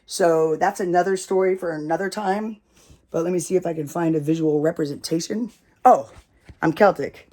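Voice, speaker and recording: An American storyteller telling a family tale with props in a loud, rambling, casual way, swearing freely. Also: medium pitch. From United States.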